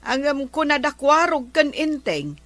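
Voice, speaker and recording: A woman's voice: high voice, sing-song, unhurried. A woman acting a gossipy village woman in a comedy radio drama, with a Filipino accent. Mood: gossiping.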